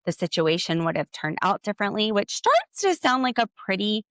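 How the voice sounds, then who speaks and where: A woman's voice: medium pitch, very animated, normal speed, a bit bright, no echo, studio quality. A woman hosting a podcast, giving a solo recap of a TV show in a blunt, opinionated way with sarcastic asides. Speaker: podcast host.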